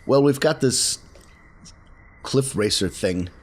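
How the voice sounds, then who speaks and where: A man talking casually as a player in a tabletop game, halting and correcting himself as he goes. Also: medium pitch, animated.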